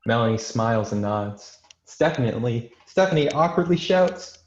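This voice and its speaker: A man's voice: mid-range voice, lively intonation, a little slow. Man narrating a screenplay, roomy sound. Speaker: narrator of stage directions. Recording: dull, roomy, echoing home recording.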